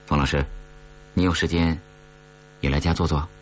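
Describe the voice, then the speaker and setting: A man voicing a curt, controlled older brother who briskly takes charge in an audiobook story. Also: extremely low pitch, fairly even intonation. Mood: brisk, casual. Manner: brisk, authoritative. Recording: dull recording with faint hum.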